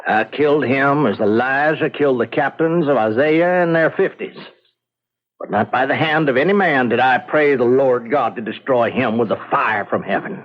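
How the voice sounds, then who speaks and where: An actor playing a fervent, righteous frontier preacher who declaims in archaic biblical phrases, in an old-time radio drama style. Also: male voice, mid-range voice, normal speed, highs cut off, lightly acted. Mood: righteous fervor. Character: fervent male frontier preacher quoting scripture, righteous and unrepentant.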